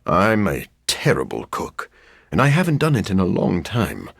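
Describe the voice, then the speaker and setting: An actor playing a brooding, scholarly vampire in a video game, a man with a husky, gravelly voice and a dry, formal manner. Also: low-pitched, wildly swooping pitch, moderate pace, fairly bright tone, no room sound, heavily acted. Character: brooding, scholarly living vampire scientist, grim and awkward, adult man. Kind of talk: video game character acting.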